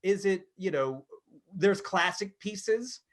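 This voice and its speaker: A man in his thirties co-hosting a podcast in casual chat, rambling and halting as he talks. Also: high-pitched, expressive. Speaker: podcast co-host.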